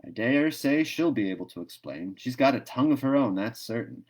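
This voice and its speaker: Man voicing a brisk, offhand station master. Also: medium pitch, expressive, darkish tone, close to an everyday voice. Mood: careless, wry. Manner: brisk, talkative, carelessly wry. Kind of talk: storybook read-aloud.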